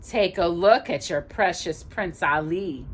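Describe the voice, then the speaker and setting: A woman voicing a cruel, power-mad male sorcerer in a storybook, with theatrical, drawn-out taunts and commands. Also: on the low side, husky. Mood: sneering.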